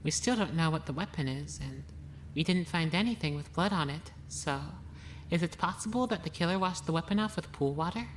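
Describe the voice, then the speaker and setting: Woman acting low, composed young female sleuth. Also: lively intonation, slight background noise, rough recording. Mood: calm, analytical. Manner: measured, methodical, matter-of-fact. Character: composed, analytical young woman investigating a murder. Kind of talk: animated murder-mystery voice acting.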